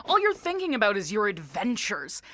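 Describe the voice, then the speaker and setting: A woman voicing a grouchy, jaded cartoon toy creature made of mismatched parts, husky and sardonic, blunt in her exasperation. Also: medium pitch, huge pitch swings, normal speed, a bit bright, no room sound. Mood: irritated. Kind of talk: animated cartoon voice acting.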